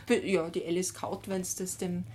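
A woman's voice: on the low side, flat delivery, moderate pace, a little mellow, a little hiss or hum. A woman chatting casually as a podcast co-host.

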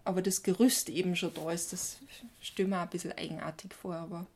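A woman's voice: on the low side, steady tone, a little fast, darkish tone. A woman chatting casually as a podcast co-host.

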